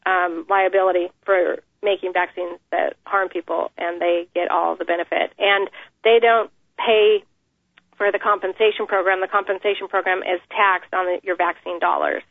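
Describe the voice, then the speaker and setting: Woman, interview guest, earnest, measured, pauses often, phone line, dry. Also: medium pitch, steady tone, no room sound. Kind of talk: phone interview, personal account.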